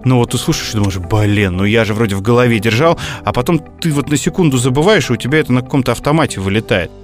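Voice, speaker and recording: Male podcast co-host, medium pitch, rambling conversational delivery with hesitations, light music bed. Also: fast talker. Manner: hesitant, digressive, with many filler words. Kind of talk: casual conversational storytelling.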